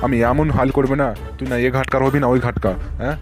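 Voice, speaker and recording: Man playing a fast-talking blustering cartoon headmaster. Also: mid-range voice, fairly even intonation, lightly acted. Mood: angry, scolding. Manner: blustering, scolding, colloquial. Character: blustering middle-aged male headmaster and protective father. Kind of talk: amateur comic cartoon voice acting. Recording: music behind the voice.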